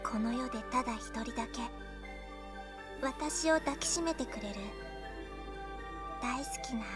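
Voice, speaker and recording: A woman playing a tender, lonely, clinging young girl in a Japanese visual novel, speaking softly and affectionately. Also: a little high, a little fast, close to an everyday voice. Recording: music under game voice clips.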